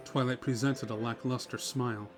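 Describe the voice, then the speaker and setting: Male story narrator, medium-pitched voice. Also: steady tone, normal speed. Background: music.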